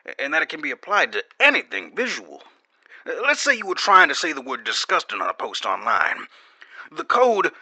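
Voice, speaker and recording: A man's voice: mid-range voice, bright, forceful tone, husky, dry recording, exaggerated voice. A man playing a guarded, defensive corporate security officer who gives secret testimony in an audio drama, explaining hesitantly with pointed emphasis.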